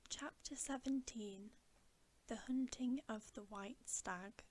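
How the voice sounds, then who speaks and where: A woman reading a bedtime story in ASMR style, soft, breathy and often whispering, in a hushed, soothing manner. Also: on the high side, takes it slowly. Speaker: ASMR host reading aloud.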